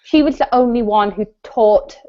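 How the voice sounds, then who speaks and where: A woman with a fairly high voice hosts a casual book discussion. She talks conversationally, feeling her way through sentences with lots of "like" and "kind of." The audio is a little dull, like an online call. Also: normal speed. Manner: hesitant, conversational, many "like" and "kind of". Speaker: live show host discussing books. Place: video call live stream.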